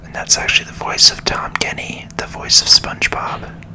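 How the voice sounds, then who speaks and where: Soft whispering ASMR gamer. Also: poor audio. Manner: slow, calming. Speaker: video game streamer. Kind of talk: ASMR gaming commentary.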